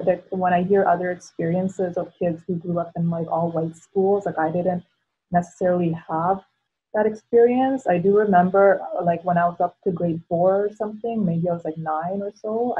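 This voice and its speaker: A woman's voice: on the low side, slightly rough. A woman in her thirties, born in the Philippines with Tagalog as her first language, sharing her story reflectively on a video call from home, with many ums and likes. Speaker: panel guest. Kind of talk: casual personal storytelling. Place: home living room. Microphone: laptop webcam on a video call. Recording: tinny video call audio.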